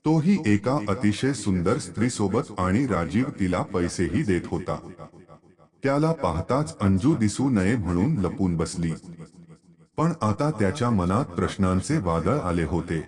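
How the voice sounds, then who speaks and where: Story narrator: man, deep-pitched with animated, varied delivery of a written tale.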